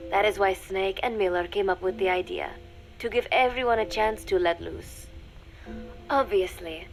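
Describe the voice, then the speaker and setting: A woman playing a teenage girl spy in a video game, reading her secret diary in a measured, deliberate way with formal diction, wistful and coolly resolute. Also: mid-range voice, close to an everyday voice, poor audio. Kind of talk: scripted audio diary narration.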